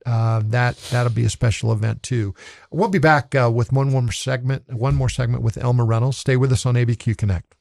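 Gravelly guy hosting a radio talk show, dry close mic, friendly and encouraging. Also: medium pitch, steady tone, a little fast, a little mellow, no echo. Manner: friendly, encouraging, repeats words when starting. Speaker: radio host. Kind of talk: radio interview.

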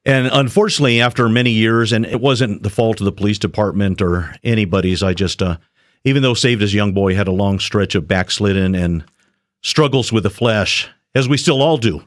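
A middle-aged man speaks with a somewhat low voice, telling his story in an earnest, measured way, pausing and restarting as he goes. The recording is dry and close, with no room sound. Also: no room sound. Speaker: shepherd school student, retired police officer. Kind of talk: personal testimony on a radio show.

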